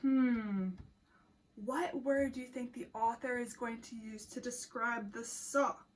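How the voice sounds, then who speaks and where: A woman teacher reading a picture book aloud to children in an animated, deliberate way, pausing to ask questions. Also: a little high, a little hiss or hum.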